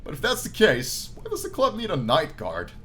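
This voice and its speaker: A man playing a game on his channel, reading the story aloud as animated narration and dropping in casual, jokey asides. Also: fairly high pitch, moderate pace, a little hiss or hum. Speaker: gaming YouTuber reading a visual novel aloud. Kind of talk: let's play commentary mixed with dramatic read-aloud narration.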